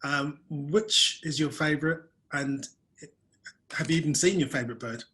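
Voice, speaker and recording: A man's voice: on the high side, normal speed, pushed and bright. A man hosting a video-call webinar, chatting in a friendly way and putting questions to his guest. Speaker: webinar host. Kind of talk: interviewing a guest.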